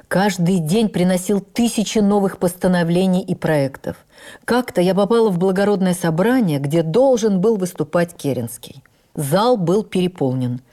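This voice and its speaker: Low, mellow actress recalling her past. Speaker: actress reading a stage memoir. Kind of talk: dramatic first-person radio narration. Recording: radio broadcast with faint music.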